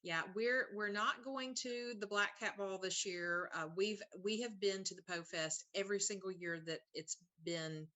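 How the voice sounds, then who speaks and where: A woman's voice: medium pitch, a little slow, few pauses, sharp tone. A woman chatting casually as host of a live online interview. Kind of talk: casual livestream chat.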